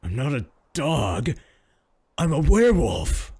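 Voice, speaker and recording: A man playing a clingy, cocky male werewolf in a comedic roleplay audio drama, boastful, sarcastic and pleading, with a husky voice. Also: medium pitch, very animated, slow, dark tone, no echo, studio quality, exaggerated voice. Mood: flustered, defensive.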